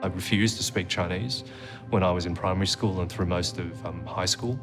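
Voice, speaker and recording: A middle-aged man, telling stories reflectively as an interview guest, with frequent pauses and fillers. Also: low-pitched, fairly even intonation. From Australia. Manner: reflective storyteller, measured with frequent "um"s. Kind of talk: conversational interview. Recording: music playing underneath.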